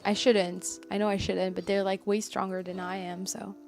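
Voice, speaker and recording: A woman's voice: medium pitch, flat delivery, normal speed, creaky, a little mellow, soft background music. A woman in her early twenties chatting casually as a game streamer, reading out and answering viewer messages. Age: early 20s. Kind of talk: casual livestream chat.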